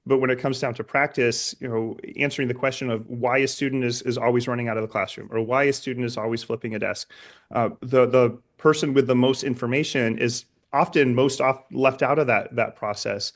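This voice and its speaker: A man's voice: mid-range voice, fairly even intonation, brisk. A middle-aged man interviewing conversationally, feeling his way toward questions with hesitant, roundabout phrasing. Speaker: interviewer, educator.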